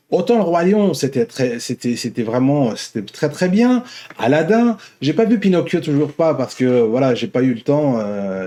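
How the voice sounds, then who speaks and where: Guy doing a casual opinion vlog, medium pitch, rambles and keeps restarting his phrases. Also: warm tone. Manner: hesitant, rambling, often repeats and rephrases words. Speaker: host of an online opinion vlog. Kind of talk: casual unscripted opinion monologue.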